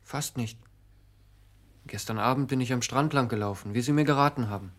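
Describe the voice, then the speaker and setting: A man's voice: medium pitch, fairly quick, lightly acted. An actor playing a naive, lovesick young village postman in a German radio play, speaking earnestly and pleadingly. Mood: shy, earnest. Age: young adult.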